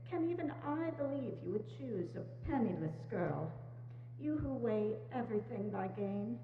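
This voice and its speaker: A woman performs a young fiancée who is sorrowful yet resolute, in a high voice. It is a stage reading with a dull, echoing hall sound. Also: a little hiss or hum. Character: sorrowful, resolute young fiancée releasing her changed lover. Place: church hall, dramatic reading before microphones.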